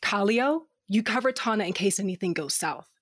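A woman's voice: medium pitch, lively intonation, brisk, a bit bright, soft background music. A woman voicing a tough, sardonic fighter with a cybernetic eye who narrates in the first person, blunt and profane with dry humor. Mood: gruff, wry.

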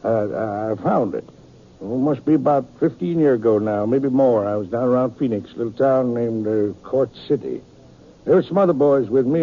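A man playing a raspy, sly western outlaw, middle-aged and unrepentant, drawling in a rustic, taunting way. The recording is dull vintage 1950s radio. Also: mid-range voice, lightly acted.

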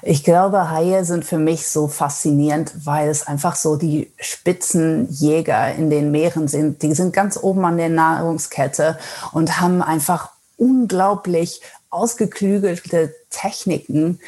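A woman's voice: sits low, steady tone, a little slow, dark tone. A woman from the Netherlands, bilingual in Dutch and German, talking as an interview guest about her filmmaking with enthusiasm and many fillers. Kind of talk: casual interview. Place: remote from Sweden.

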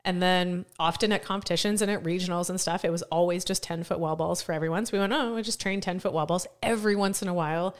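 Lady host chatting fast, lowish voice, laughs a lot and says like all the time. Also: steady tone. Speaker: podcast host and CrossFit coach. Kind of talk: casual interview chat.

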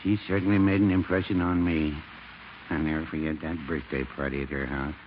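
An actor playing a mild, folksy, contrary older American druggist with a droll, unhurried delivery in 1940s radio comedy. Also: male voice, a bass voice, level tone. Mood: droll, innocent. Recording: muffled, noisy 1940s radio recording.

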